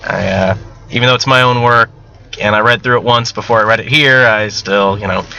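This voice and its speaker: A man's voice: lowish voice, fairly even intonation, a little fast, gravelly, bright, forceful tone, dull audio, slight background noise. A man talking casually and unscripted to viewers as an amateur writer, rambling, candid and self-deprecating, with casual swearing. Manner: casually profane. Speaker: amateur novelist and video host.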